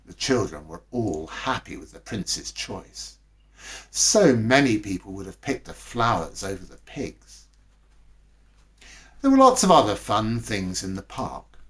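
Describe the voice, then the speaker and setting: A man narrates a bedtime story from a script. He reads at an unhurried, slow pace with lively swings in pitch. The delivery is expressive and dramatic. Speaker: storybook reader.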